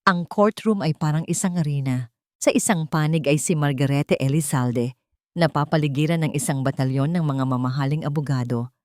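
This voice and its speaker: A woman with a Filipino accent narrating a dramatic audiobook story in an expressive, theatrical way. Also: deep voice, moderate pace, no echo, studio quality.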